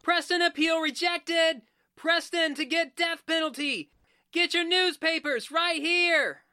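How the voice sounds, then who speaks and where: Shrill, high-pitched newspaper seller. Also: female voice, full character voice. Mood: hawking urgently. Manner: loud drawn-out street cries. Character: shrill young newspaper seller hawking headlines in the street. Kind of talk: 1940s radio drama acting.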